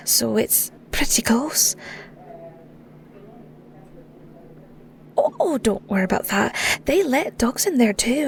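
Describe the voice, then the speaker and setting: A woman with a Scottish accent playing a shy, sweet young shepherdess in an audio roleplay, stammering and rambling with nervous giggles. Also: a little high. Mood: eager, nervous. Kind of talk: audio roleplay acting, intimate.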